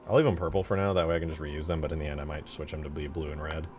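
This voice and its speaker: A man giving casual livestream commentary as a game modder, informal and low-key, muttering to himself as he works. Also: little pitch movement, very fast, a little mellow, a little hiss or hum. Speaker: game modder streaming his work. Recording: music behind the voice. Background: music.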